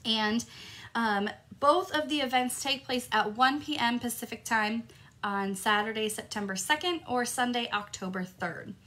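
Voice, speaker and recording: Upbeat woman host, slightly hoarse. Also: a little high, a little slow, sharp tone. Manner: upbeat, greets viewers by name. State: slightly hoarse, recovering from lost voice. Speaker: crafting demonstrator and host. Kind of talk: live-stream craft tutorial. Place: home craft room, indoors.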